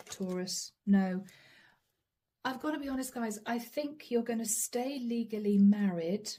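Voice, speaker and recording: A woman doing a channeled tarot reading straight to the viewer, earnest and apologetic, her voice going hoarse. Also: mid-range voice, warm tone. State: losing her voice. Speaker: tarot reader.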